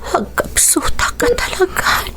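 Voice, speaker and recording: An actress playing a frail, determined pregnant wife in a radio melodrama, weak, breathy and tearfully pleading. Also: female voice, unhurried, noisy background, lightly acted. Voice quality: breathy, whispery, sobbing. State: crying, weak. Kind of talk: melodramatic Ilocano radio drama acting. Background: background music.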